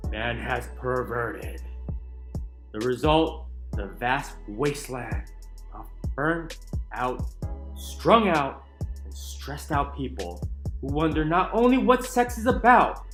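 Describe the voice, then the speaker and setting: A man giving a dramatic, emphatic reading of a book, with deliberate pauses. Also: mid-range voice, big pitch swings. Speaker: channel narrator reading a book aloud. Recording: roomy echo with music underneath. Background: soft chill music.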